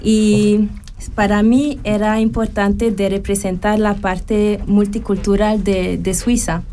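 Hesitant swiss woman, french first language, picks words slowly, dark soft voice. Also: mid-range voice, flat delivery, a little hiss or hum. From Switzerland. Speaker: beauty pageant contestant. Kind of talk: casual interview answers.

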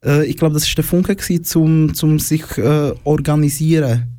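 A man's voice: fairly high pitch, steady tone, moderate pace, mellow, dry recording. A young man from the former Eastern Bloc, a guest in a casual studio conversation, hesitant and full of fillers, laughing often.

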